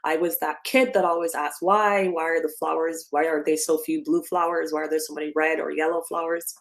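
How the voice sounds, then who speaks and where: A woman's voice: deep voice, steady tone, a little fast. A woman in her fifties from Barbados, giving a remote slide presentation with frequent ums and self-corrections. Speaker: guest speaker. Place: speaking remotely from home or office.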